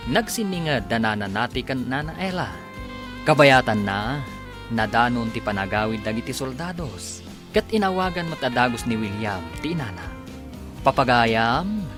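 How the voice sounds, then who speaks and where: A man announcing and narrating a radio serial in a scripted, dramatic, declamatory style. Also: medium pitch. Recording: announcer over loud music bed.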